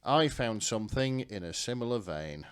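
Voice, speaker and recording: A man with a lowish voice and very lively intonation co-hosts a casual comedy podcast. He comes across as wry, feeling his way through sentences hesitantly. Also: moderate pace, clean tone. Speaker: podcast co-host.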